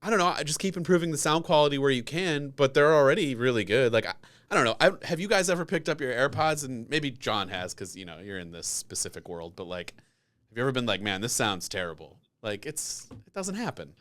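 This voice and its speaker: A man's voice: medium pitch, animated, fairly quick, fairly bright tone. A man hosting a casual tech podcast, talking in an informal, rambling way. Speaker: podcast host.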